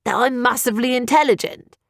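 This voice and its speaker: A woman voicing a touchy, self-important person in a crowd, shrill and indignant. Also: brisk, no room sound, studio quality, lightly acted.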